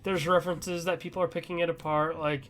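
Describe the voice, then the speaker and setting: A man's voice: on the high side, level tone, fast, fairly bright tone. A man hosting a podcast, chatting casually about gaming news in a rambling, loosely conversational way. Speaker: podcast host.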